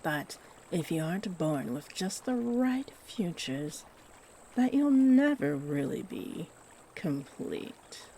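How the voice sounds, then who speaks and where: Woman voicing a slow-talking, kindly chatty fairy. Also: deep voice, a little hiss or hum. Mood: gentle, encouraging. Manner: gentle, chatty, expressive, with musing pauses. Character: chatty, kindly shapeshifting female fairy, nearly human-sized. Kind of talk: scripted roleplay audio addressed to the listener.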